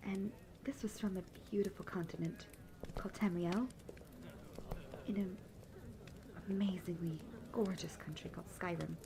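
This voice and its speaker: A woman's voice: fairly low pitch, fairly even intonation, gravelly, background noise. A young woman playing a peppy traveling bard in a fantasy audio roleplay, telling tales earnestly and fondly without hurry. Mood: earnest, nostalgic. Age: young adult. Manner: earnest, fond, unhurried storytelling.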